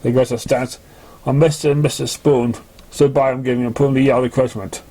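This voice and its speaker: A man reading a story aloud in an amateur way, with a halting, stumbling delivery. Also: medium pitch, level tone, warm tone. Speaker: fan fiction reader. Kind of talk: amateur story reading with put-on character voices.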